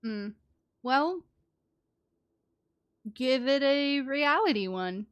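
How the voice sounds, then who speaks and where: A woman's voice: high-pitched, lively intonation, drawn-out words, clean tone, no echo, lo-fi sound. A woman giving casual, chatty live commentary as she streams a game, reacting to the story.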